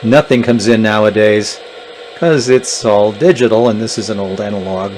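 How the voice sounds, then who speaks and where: A man's voice: lowish voice, fairly even intonation, normal speed, a little mellow. Man casually demonstrating, with noisy background. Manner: plainspoken, unscripted explaining. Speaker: gadget reviewer. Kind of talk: casual hands-on product walkthrough. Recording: clear background noise and clicks.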